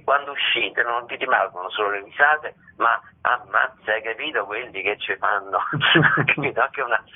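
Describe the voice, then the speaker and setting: A deep-voiced man, heard down a muffled phone line. He chats colloquially and jokily, wandering into asides as a guest on a radio interview. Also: slightly rough. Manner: colloquial, jokey, digressive. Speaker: theatre director interviewed as guest. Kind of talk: chatty radio interview, promoting a play.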